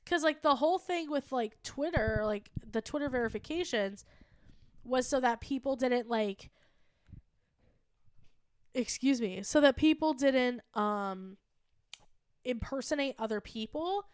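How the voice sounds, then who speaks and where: Woman, 30. Loose, rambling comedy co-host who laughs and swears. Higher voice, dry close recording. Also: a little slow, pauses often, clean tone, dry recording. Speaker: podcast co-host. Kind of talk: casual comedy chat.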